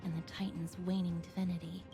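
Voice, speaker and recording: A woman playing a composed, thoughtful young companion in a video game, speaking in a measured, earnest and articulate way. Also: lowish voice, level tone, music in the background, lightly acted. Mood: calm, thoughtful. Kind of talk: video game character dialogue.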